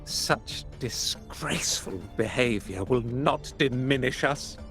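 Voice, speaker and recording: A man's voice: mid-range voice, slow pace, fairly bright tone, soft background music. A male actor playing a pompous, indignant art exchange boss who speaks in formal, self-righteous oratory.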